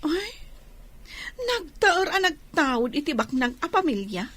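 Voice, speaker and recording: A woman's voice: high voice, very animated, measured pace, a bit bright, no room sound, exaggerated voice. A woman acting a gossipy, teasing lodging worker in an Ilocano radio drama. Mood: teasing, incredulous.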